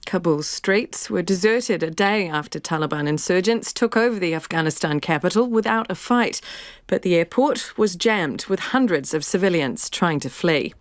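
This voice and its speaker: A woman reading the evening news bulletin in a measured, formal way. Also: lowish voice, expressive, no room sound, studio quality. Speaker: newsreader.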